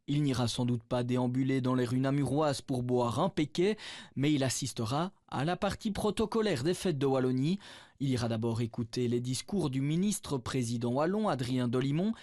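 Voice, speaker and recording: A man reads a television news report in a steady, informative voice-over. The recording is close and dry. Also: mid-range voice, moderate pace, no echo.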